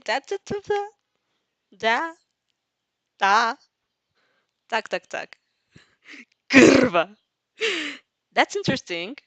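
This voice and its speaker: A woman's voice: mid-range voice, a bit bright, no echo. A woman casually reading fan fiction aloud and commenting as a reaction host, playful and exclamatory, easily breaking into giggles.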